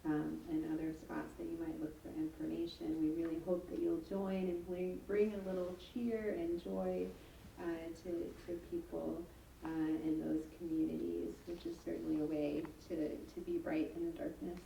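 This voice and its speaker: A woman's voice: lowish voice, level tone, moderate pace, creaky, dark tone. A woman leading a congregation, giving announcements and a closing prayer in an earnest way with many ums. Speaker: service leader. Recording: echoey room, far from mic, faint hiss.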